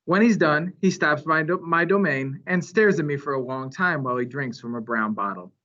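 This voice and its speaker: A man reading a children's story aloud with animated, dramatic phrasing. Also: on the high side, moderate pace. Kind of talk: expressive read-aloud narration. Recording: dull, lo-fi recording.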